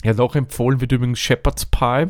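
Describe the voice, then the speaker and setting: A man's voice: medium pitch, steady tone, fairly quick. A man, co-hosting a casual beer tasting chat, rambling and thinking aloud. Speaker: beer podcast co-host.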